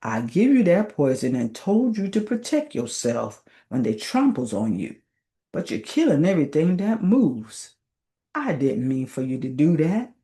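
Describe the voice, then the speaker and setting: A folksy, patient God from a storybook folktale, played by a woman reader. He reasons things out slowly in Southern dialect, with a mellow, low tone and lively turns. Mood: stern, reasoning. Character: God as a male, deliberate, folksy folktale authority.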